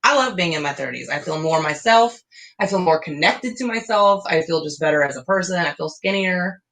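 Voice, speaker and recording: A woman's voice: fairly low pitch, animated, a little fast, a bit bright. A woman, co-hosting a casual live Q&A, chatty and self-deprecating with lots of fillers. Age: 30s. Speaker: podcast co-host.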